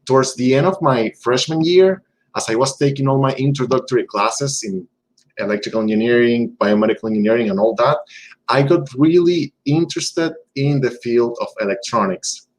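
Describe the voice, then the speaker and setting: A young man from Venezuela whose first language is Spanish, giving a steady, measured talk to teens as a guest speaker. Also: medium pitch, rough recording. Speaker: electrical engineer, guest speaker.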